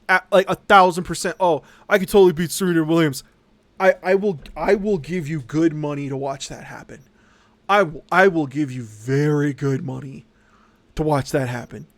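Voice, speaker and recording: A man hosting a livestream, rambling casually in an animated, sarcastic, sweary storytelling way. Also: fairly high pitch, moderate pace, no echo. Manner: acts out mocking quotes. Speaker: livestream host.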